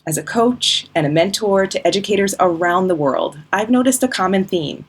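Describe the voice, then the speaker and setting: A woman host reading a scripted outro for an education program in a smooth, promotional way. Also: medium pitch, animated, moderate pace. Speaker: host and company founder.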